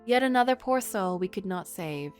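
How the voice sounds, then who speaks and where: A woman's voice: mid-range voice, expressive, normal speed, faint music behind, natural voice. A woman voicing a weary male hospital worker in a game story, consoling and resigned in a subdued, philosophical way. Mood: weary resignation.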